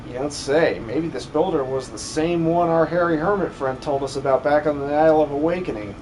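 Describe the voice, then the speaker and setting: A man's voice: fairly high pitch, fairly quick, darkish tone, a little hiss or hum, natural voice, poor audio. A man voicing a bold, eager young adventurer with a confident, slightly haughty air in a video game fan dub. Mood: curious, confident.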